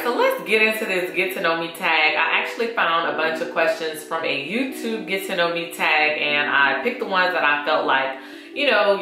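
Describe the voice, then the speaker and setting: A chatty woman, talking to her viewers and calling them y'all. Her voice sits a little low and rings around a very echoey room. Clear music plays underneath. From Louisiana. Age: 30s. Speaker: beauty vlogger. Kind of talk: casual chat while doing makeup. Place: small indoor room against a plain wall.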